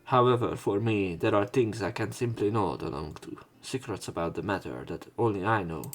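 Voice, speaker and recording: A man's voice: fairly low pitch, normal speed, faint music behind. A man narrating a visual novel as a let's play, reading the story text aloud.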